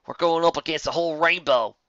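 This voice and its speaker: Guy as a no-nonsense cartoon pony lady, wry, raised pitch, slightly muffled mic. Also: quick pace, fairly bright tone, no room sound. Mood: wry, puzzled. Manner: questioning, muttering.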